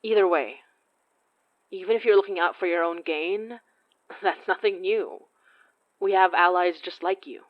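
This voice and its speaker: An actress playing a wary, blunt occult investigator in an audio horror drama, controlled and accusing. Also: female voice, lowish voice, measured pace, long pauses, highs cut off, lightly acted. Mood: pressing, accusing.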